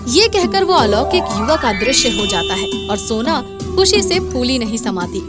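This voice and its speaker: A woman's voice: on the high side, big pitch swings, normal speed. A woman telling a dramatic scripted tale as its narrator. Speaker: storyteller. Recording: clear background music under voice.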